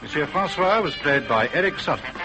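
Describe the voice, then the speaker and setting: A man's voice: fairly high pitch, lively intonation, brisk, over music, low-quality recording. A man working as a radio announcer, reading scripted credits in an old broadcast style.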